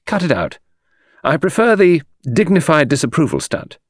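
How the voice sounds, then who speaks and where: A man's voice: fairly high pitch, very animated, normal speed, no room sound, studio quality, voice pushed up. A man reading aloud, voicing an impudent, chattering young actress in her late teens. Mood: chatty. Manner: chattering, teasing, theatrical. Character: impudent cheeky young woman, teenage actress.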